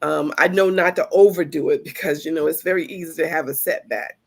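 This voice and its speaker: A woman's voice: deep voice, a little fast. Chatty congested woman hosting a podcast. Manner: chatty, animated storytelling with laughs. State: congested with flu. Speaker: podcast host. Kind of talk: casual podcast chat.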